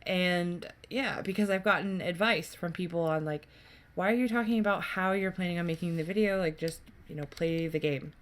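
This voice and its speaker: A woman's voice: lowish voice, moderate pace, a little hiss or hum. A woman doing casual gameplay commentary as a YouTuber, rambling along in a chatty, enthusiastic way. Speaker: gaming YouTuber. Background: game music.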